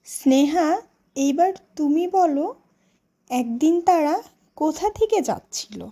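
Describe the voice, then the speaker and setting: Slow, high-pitched woman telling children's stories. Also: expressive, dark tone. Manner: slow, deliberate, teacherly phrasing. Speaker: storyteller and teacher. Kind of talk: scripted children's story narration and classroom questioning.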